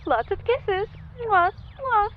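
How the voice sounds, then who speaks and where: Woman as a doting high-voiced mom, lo-fi home recording. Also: sing-song, slow pace, crowd noise, full character voice. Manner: fussy, sweet, a bit scattered. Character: doting middle-aged mother, affectionate. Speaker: mother character. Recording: dull lo-fi mic with faint hiss.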